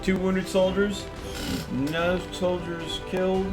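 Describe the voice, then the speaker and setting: Guy streaming a game, casual chatty commentary, slightly higher voice, music playing behind. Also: flat delivery, takes it slowly.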